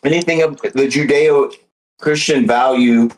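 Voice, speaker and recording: A man's voice: medium pitch, unhurried, a little hiss or hum, rough recording. A man in a casual group chat, speaking assertively in a lecturing tone.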